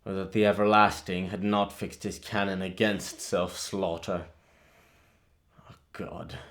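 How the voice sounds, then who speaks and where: An actor playing a grieving, bitter young prince in a theatrical monologue, brooding and halting, rising into outbursts. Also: male voice, low voice, little pitch movement, a bit bright. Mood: weary despair.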